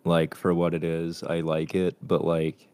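A young man with a very deep, low voice, chatting casually as a podcast co-host. He talks bluntly and swears all the time, sounding rowdy and unfiltered. The sound is dry and close, with no room around it. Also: monotone, a little slow, a little mellow, smooth, dry recording. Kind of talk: casual chat.

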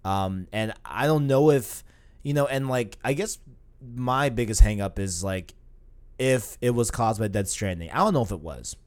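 A man in his early twenties co-hosting a casual gaming podcast, talking in an animated, rambling way with lots of filler phrases. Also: on the low side, normal speed. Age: 20s. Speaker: podcast co-host.

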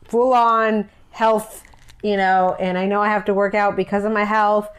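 A woman's voice: medium pitch, level tone, moderate pace. Playful frank woman in her twenties. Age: 20s. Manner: playful, teasing and frank, laughing often. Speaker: podcast co-host. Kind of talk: casual chat in bed with her husband.

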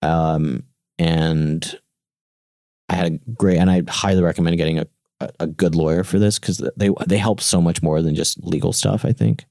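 A deep-voiced man in his thirties, a founder telling his story in a casual interview, and Gujarati was his first language. He stumbles and restarts often, then lifts his voice when quoting others. The sound is close and dry. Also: vocal fry, no room sound. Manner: halting, many restarts and fillers, animated quoting. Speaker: startup founder, guest.

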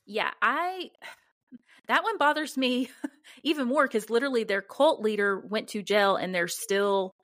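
A woman's voice: on the high side, animated, unhurried, fairly bright tone, no echo, studio quality. Giggly woman co-hosting a casual podcast. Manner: giggly, often laughing as she talks. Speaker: podcast co-host. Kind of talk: casual banter between co-hosts.

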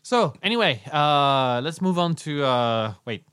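A man hosting a podcast in a jokey, loose, halting way, chatting with a guest. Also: on the high side, animated, noisy background. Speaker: podcast host. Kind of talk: casual interview chat.

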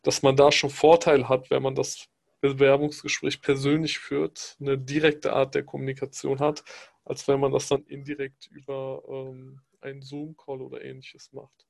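A man whose first language is Pashto, speaking in a measured, reflective way with frequent hesitations in a casual discussion. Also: medium pitch, monotone, gravelly. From Afghanistan.

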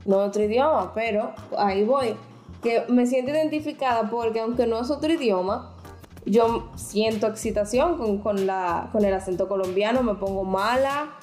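A woman's voice: fairly high pitch, moderate pace, a little mellow, roomy sound, faint music behind. A woman co-hosting a podcast who explains topics frankly in casual chat, with many restarts. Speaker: podcast co-host.

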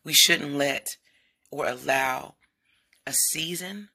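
A woman with a low voice who hosts a devotional podcast and leads worship at her church, talking casually and warmly to her listeners. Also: takes it slowly, vocal fry, husky. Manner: chatty and encouraging, often addresses listeners as "y'all".

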